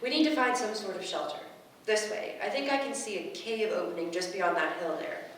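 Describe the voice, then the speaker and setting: A woman's voice: medium pitch, expressive, a little fast, natural voice. Woman playing questioning skeptical young stage character, formal, very echoey with faint noise behind. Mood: alarmed. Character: earnest, questioning, skeptical young created being. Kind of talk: staged play reading. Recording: echoey room, distant mic, background noise.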